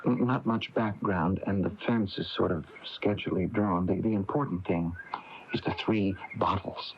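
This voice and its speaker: Shy young male artist, lowish voice, halting, earnest, radio drama, muffled noisy broadcast. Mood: earnest, fixated. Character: shy, withdrawn young male painter, quietly obsessive. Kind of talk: 1980s radio drama acting. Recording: dull, noisy AM radio recording.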